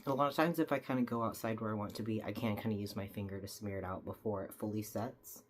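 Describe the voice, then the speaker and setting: A woman's voice: very deep voice, fairly even intonation, quick pace, a little hiss or hum. A young woman, slightly stuffy from a cold, chatting casually as she explains each step of a makeup tutorial.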